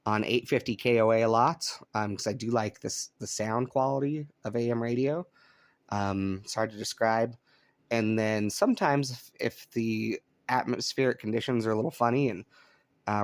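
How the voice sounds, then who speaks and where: A man from Colorado hosting a relaxed show-and-tell about his radio gear, easygoing and chatty with frequent ums, speaking indoors at home. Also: lowish voice, gravelly, dry recording. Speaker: radio hobbyist channel host. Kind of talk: casual show-and-tell demonstration.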